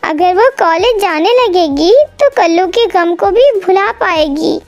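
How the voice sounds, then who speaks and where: Woman voicing a slow, high, kindly mother-in-law bird. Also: faint music behind. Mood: firm, caring. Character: kind protective elderly mother-in-law bird, female, gently firm.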